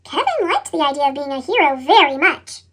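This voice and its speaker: A woman's voice: high voice, very animated, a little fast, fairly bright tone. A woman reads a children's story expressively, her voice altered with a sped-up chipmunk effect. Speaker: storybook reader.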